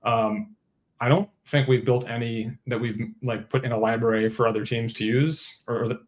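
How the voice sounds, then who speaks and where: A man's voice: medium pitch, fairly even intonation, moderate pace. A man speaking as an engineer and guest in a webinar, answering in an informal, explanatory way as if thinking aloud. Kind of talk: webinar interview answers, conversational tech talk.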